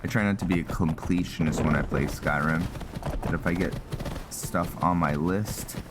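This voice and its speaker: A man's voice: low-pitched, normal speed, noisy background. A man giving casual, conversational let's-play commentary, musing aloud as he plays. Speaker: gaming streamer, host.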